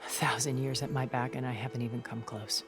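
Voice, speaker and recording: An actress playing a poised, persuasive immortal older woman with measured, elegant phrasing in a cinematic video game. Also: very deep voice, fairly even intonation, over music. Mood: earnest, admiring.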